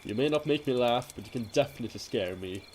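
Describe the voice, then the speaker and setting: Brash teasing hunter played by a man, swooping lively delivery, theatrical banter, fantasy audio drama with crickets and faint noise. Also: medium pitch, fast, lightly acted. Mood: encouraging. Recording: noisy track with cricket ambience. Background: crickets and night insects.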